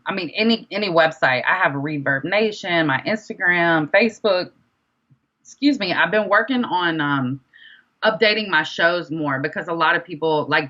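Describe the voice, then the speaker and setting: A woman's voice: low voice, bright, forceful tone, lo-fi sound. A woman chatting casually as an interview guest, with an informal, slangy, enthusiastic manner. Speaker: interview guest, independent rapper.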